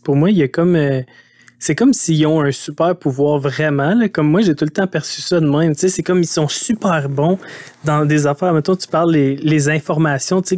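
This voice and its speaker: A man's voice: on the high side, darkish tone, no room sound, studio quality. A man with a Québécois accent hosting a podcast, talking casually and enthusiastically with many filler words. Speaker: podcast host. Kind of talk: podcast interview.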